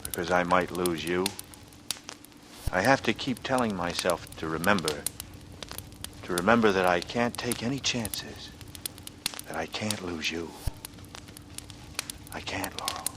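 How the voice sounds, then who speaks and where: A man's voice: low voice, lively intonation, unhurried, long pauses, noisy background. An actor playing a haunted, intense man in a 1940s radio thriller, brooding and dramatic in manner. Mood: tender, fearful. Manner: brooding, dramatic, swinging into anguished outbursts. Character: haunted, intense male lover and war veteran. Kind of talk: 1940s radio drama acting with first-person narration. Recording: vintage radio recording with music.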